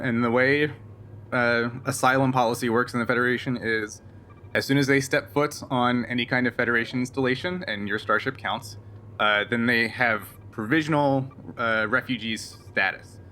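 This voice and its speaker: A man running a tabletop roleplaying game, explaining and improvising in a halting, conversational way. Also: medium pitch, bright, forceful tone, a little hiss or hum. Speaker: game master.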